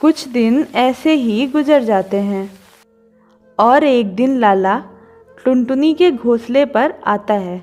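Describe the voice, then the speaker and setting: A woman's voice: fairly high pitch, expressive, takes it slowly, darkish tone, highs cut off, faint music behind. A woman narrating a scripted children's story as its storyteller.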